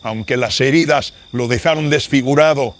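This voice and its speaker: A man's voice: mid-range voice, moderate pace, a little hiss or hum, pitched above the performer's own voice, close to an everyday voice. A man voicing a stern, secretive colonial nobleman in a horror radio drama, speaking formally and theatrically. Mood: grave explaining.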